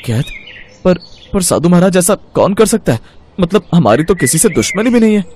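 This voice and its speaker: A man voicing a respectful, earnest and excitable young village farmer in a storybook tale. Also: high voice, fairly quick, slight background noise, voice pushed up. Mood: shocked.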